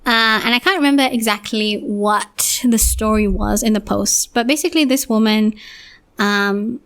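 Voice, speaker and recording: A young woman hosting a solo podcast, slightly hoarse, talking in a rambling, reflective way with many restarts. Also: mid-range voice, moderate pace, a bit bright. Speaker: podcast host.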